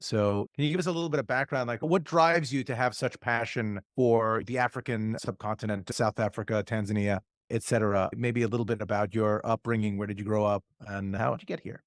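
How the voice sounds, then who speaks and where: A man's voice: on the low side, steady tone, fairly quick, no room sound, studio quality. A man co-hosting a podcast, asking questions with a playful, joking manner. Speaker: podcast co-host. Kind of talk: podcast interview.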